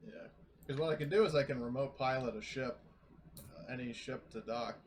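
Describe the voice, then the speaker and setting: A man's voice: medium pitch, normal speed, slight background noise. A man chatting casually as a player in a tabletop roleplaying game.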